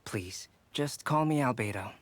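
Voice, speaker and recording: A man voicing a calm, thoughtful young artist in an anime game, speaking in a measured, polite, reflective way. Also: medium pitch, no room sound, natural voice. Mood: gracious. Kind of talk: anime game dub acting.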